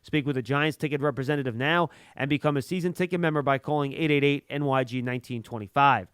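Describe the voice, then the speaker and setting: A man's voice: medium pitch, fast, fairly bright tone, smooth, dry recording, clean studio sound. A man hosting a sports show, reading scripted promos and segment links.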